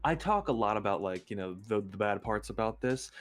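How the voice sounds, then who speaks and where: Low-voiced man, earnest casual stream chat. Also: animated, brisk, soft background music. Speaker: YouTube creator and stream guest.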